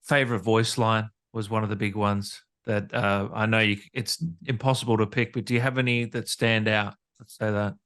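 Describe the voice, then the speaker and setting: A man with a medium-pitched voice hosts an interview in a casual, conversational style. He speaks informally as he puts questions to his guest. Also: level tone, moderate pace, hardly any pauses, a bit bright. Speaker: interview host.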